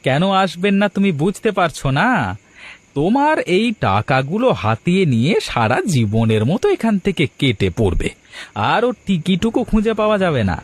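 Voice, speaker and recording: A man's voice: sits high, very animated, moderate pace, dry recording. A man voicing a blustering, protective village uncle in a cartoon folktale. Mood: stern, accusing. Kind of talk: Bengali cartoon folktale acting. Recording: music bed behind the voice.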